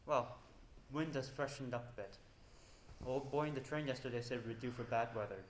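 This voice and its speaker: A man's voice: mid-range voice, normal speed, roomy sound, close to an everyday voice. A man in a student stage play acting a blunt, plain-spoken and uneasy house guest. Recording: noisy stage recording with room ambience.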